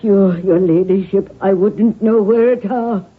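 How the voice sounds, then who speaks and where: A distraught Irish peasant maidservant, husky and rough-edged, deferential and superstitious. The recording is a thin, tinny old radio drama. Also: female voice, mid-range voice, a little slow. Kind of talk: 1970s radio drama acting.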